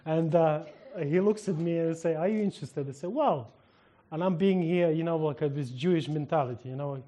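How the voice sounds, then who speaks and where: A minister with a Ukrainian accent shares a testimony from the pulpit. His voice sits a little high. He tells it like a lively story, acting out each exchange and often breaking into laughter. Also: fairly quick.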